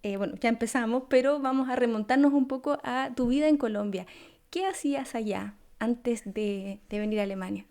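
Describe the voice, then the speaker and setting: High-pitched, mellow woman interviewer. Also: brisk. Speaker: podcast host and interviewer. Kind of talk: scripted introduction and interview.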